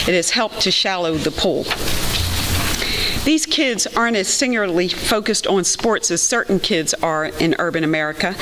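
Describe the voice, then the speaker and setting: A person reading a nonfiction book excerpt aloud at a university event, in a steady, plain way. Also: medium pitch. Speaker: student reader at a read-in. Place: university center event room. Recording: noticeable hiss.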